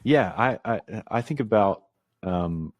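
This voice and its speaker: A man with a very low voice, speaking as a guest on a podcast. He grew up in the American South and talks in a halting, reflective way, full of "like" and "um". The sound is dry and close, with no room around it. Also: expressive, dry recording. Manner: reflective, halting, filler-heavy. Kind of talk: casual conversation.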